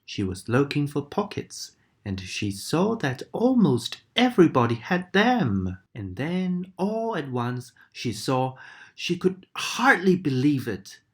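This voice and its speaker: A woman reading a bedtime story aloud to children, gentle and animated. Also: low voice, slow pace. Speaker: storybook reader.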